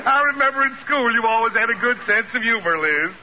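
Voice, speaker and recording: High-voiced, pompous, blustering businessman. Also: animated, quick pace, a crowd in the background. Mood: jovial, self-pleased. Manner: blustery, pompous, stammers when flustered. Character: vain, blustering, easily insulted businessman, a crook. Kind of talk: 1940s radio sitcom acting. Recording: very noisy, muffled old radio recording.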